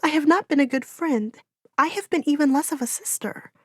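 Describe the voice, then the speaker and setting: Lady doing a heartbroken young girl from a storybook, pitched up high, pleading and ashamed. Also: brisk, no echo, studio quality, natural voice. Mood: self-reproaching. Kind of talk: audiobook character dialogue.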